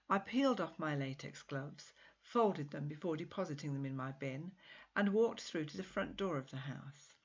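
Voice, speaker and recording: A woman with a low, husky voice narrates literary fiction with measured, dramatic expression. She reads as the author of the piece. The recording is slightly muffled. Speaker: author reading own short story.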